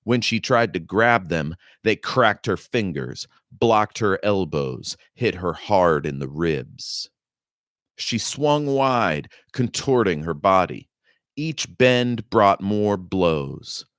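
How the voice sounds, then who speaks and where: A man with a very low voice narrates fiction like an audiobook. He reads at an unhurried pace with measured, dramatic phrasing, and the sound is dry with hardly any room in it. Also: animated, fairly bright tone, no echo. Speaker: author reading own novel.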